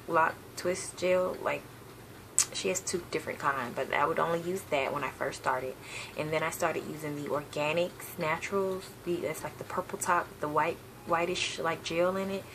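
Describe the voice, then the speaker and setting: A young woman from the South, chatting casually on a home vlog, rambling with lots of ums and self-corrections. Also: deep voice. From Southern United States. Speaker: hair vlogger. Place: bedroom, seated on a patterned couch, indoors. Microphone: webcam at desk distance. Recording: gravelly voice with clear background hiss.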